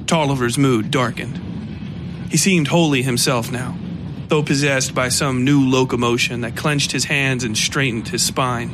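A man reading dark fiction as a dramatic scripted narration. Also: medium pitch, steady tone, a little slow, noisy background. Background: music.

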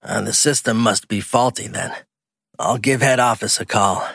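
A man's voice: medium pitch, steady tone, moderate pace, creaky, fairly bright tone, husky, dry recording, clean studio sound. A man voicing a puzzled, businesslike older male site worker in an audiobook. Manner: perplexed, questioning. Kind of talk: acted audiobook dialogue.

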